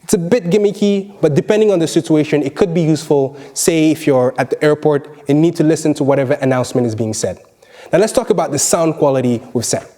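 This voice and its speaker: Mellow-voiced man presenting a product review. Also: a little high, quick pace. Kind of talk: scripted product review narration.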